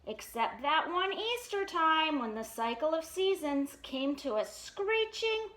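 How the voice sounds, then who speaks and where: A woman reading a children's picture book aloud in an expressive, sing-song way, pausing for chatty questions to young listeners. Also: high-pitched, reverberant. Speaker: storytime reader.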